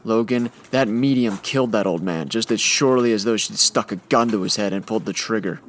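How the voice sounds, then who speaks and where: An actor playing a tough, hard-boiled crime photographer with a clipped, confrontational manner in a comic radio noir. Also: male voice, fairly low pitch, fairly quick, fairly bright tone, a little hiss or hum. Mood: grim resolve. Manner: gruff talk, confrontational, clipped. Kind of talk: old-time radio noir acting, comedic.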